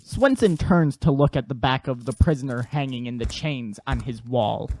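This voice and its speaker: A woman's voice: rumbling low, normal speed, a little mellow. A woman narrating an audio drama from a script. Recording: clear background noise and clicks.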